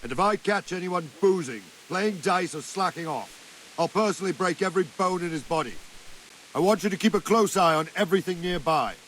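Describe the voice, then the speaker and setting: A medieval captain of the guard in a game, stern and dutiful. His somewhat high voice has brisk military bite. Faint rain and hiss run underneath. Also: animated, a bit bright, poor audio. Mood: stern, commanding. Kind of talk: dramatic game cutscene acting.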